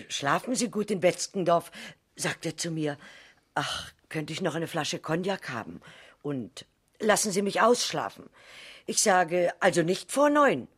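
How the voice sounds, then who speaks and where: Husky, lively, high-voiced male innkeeper. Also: full character voice. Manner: animated, chatty, quoting dialogue. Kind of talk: 1970s radio crime drama acting.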